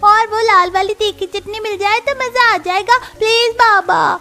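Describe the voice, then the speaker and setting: बहुत पतली आवाज़ वाला ज़िद्दी बच्चा. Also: female voice, very high-pitched, steady tone, a little slow. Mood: pleading. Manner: whiny, insistent. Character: whining, pleading young child begging for treats. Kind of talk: Hindi cartoon story acting.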